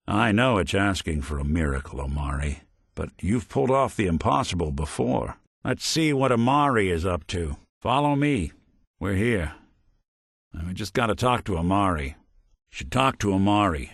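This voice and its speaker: A man's voice: fairly low pitch, big pitch swings, normal speed, dry recording, clean studio sound. A man voicing a weary, wry old-school detective robot with an American accent and hard-boiled noir phrasing, in a video game. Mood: dry, businesslike.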